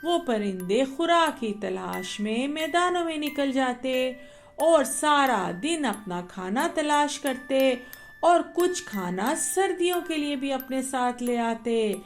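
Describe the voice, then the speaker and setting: A woman narrating a bedtime story for children in a slow, gentle way. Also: high voice.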